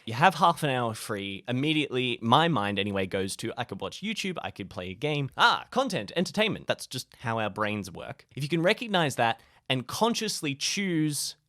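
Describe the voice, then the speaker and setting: A man's voice: medium pitch, big pitch swings, moderate pace, few pauses, fairly bright tone, dry recording, clean studio sound. A man giving casual advice to camera in a playful, self-deprecating way with comic asides. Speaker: YouTube creator sharing tips.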